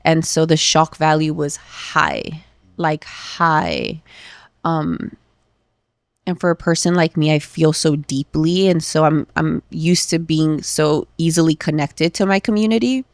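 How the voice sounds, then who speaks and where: Gravelly low-voiced woman in her thirties. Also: measured pace, dry recording, clean studio sound. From Los Angeles. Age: 30s. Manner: candid, emotional, uses many fillers like "um" and "like". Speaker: podcast guest. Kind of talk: casual conversational interview.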